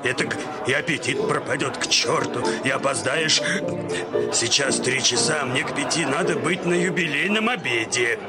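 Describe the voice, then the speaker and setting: An actor playing a hearty, demanding Russian gentleman glutton in a radio story, leisurely and lordly, hemming as he orders. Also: medium pitch, normal speed, close to an everyday voice. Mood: grumbling. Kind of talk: acted radio short story. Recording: music behind the voice.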